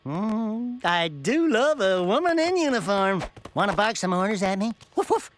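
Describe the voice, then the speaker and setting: High-pitched, cocky little animal sidekick. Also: male voice, big pitch swings, normal speed, a little hiss or hum. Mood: flirty, cocky. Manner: cheeky, brash, hammy. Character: small wisecracking furry male animal sidekick, cocky flirt. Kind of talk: cartoonish video game acting.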